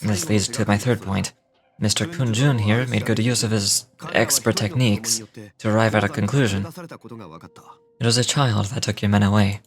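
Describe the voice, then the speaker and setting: An actor playing a composed, methodical gentleman investigator in a video game, speaking in a measured, formal way. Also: on the low side, steady tone, music in the background, close to an everyday voice. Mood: calm, analytical.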